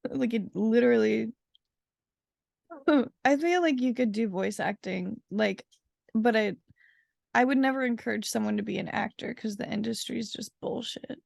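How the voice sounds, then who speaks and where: Gravelly, high-voiced young woman chatting. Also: moderate pace, darkish tone, dry recording, clean studio sound. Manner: chatty, heavy on "like" fillers, laughs often. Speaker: podcast co-host. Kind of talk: casual chat.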